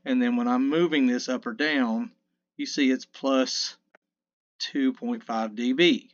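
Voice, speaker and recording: A man in his fifties with a low mid voice, casually talking through a mixing tutorial in a rambling, self-correcting, folksy way. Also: steady tone, measured pace, lo-fi sound. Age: 50s. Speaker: sound engineer teaching mixing. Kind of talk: casual screen-recorded tutorial. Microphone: dynamic vocal mic.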